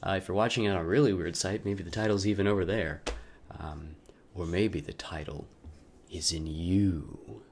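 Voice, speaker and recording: A man with a somewhat low voice talks as a video creator would in a personal piece. His delivery is wry and self-deprecating, and he breaks off into joking asides. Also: fairly even intonation, normal speed, a little mellow, a little hiss or hum. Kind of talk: casual vlog intro, then loose dramatic reading of a personal letter.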